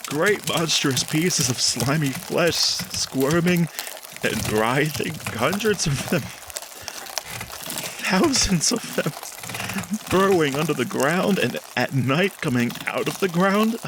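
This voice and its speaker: Man acting a slow, haunted, despairing scientist. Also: fairly high pitch, background noise. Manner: halting, repetitive, melodramatic. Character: guilt-ridden, horror-struck scientist, despairing and losing his mind. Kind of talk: 1940s radio horror drama monologue.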